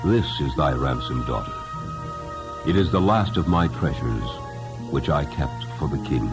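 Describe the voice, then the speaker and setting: A man voicing a gentle, humble, devout old man in a storybook reading, slow, halting and reverent. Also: very deep voice, lively intonation, voice pushed down. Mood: tender. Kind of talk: dramatic storybook character reading. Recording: music under the voice.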